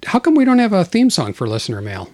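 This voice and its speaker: A man's voice: high voice, wildly swooping pitch, fast, darkish tone, no room sound. A man hosting a casual podcast, joking and laughing often as he reads listener mail aloud. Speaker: podcast host.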